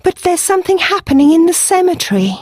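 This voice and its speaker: A woman's voice: extremely high pitch, sing-song, fast, no room sound, studio quality, pitched above the performer's own voice. A woman voicing a worried, apologetic elderly village lady in a storybook reading. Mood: anxious, apologetic. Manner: apologetic, fretful.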